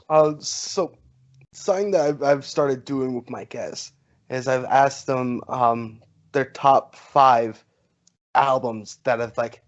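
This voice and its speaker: A man's voice: medium pitch, highs cut off. A man hosting a casual podcast interview, laid-back, with casual swearing. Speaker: podcast host.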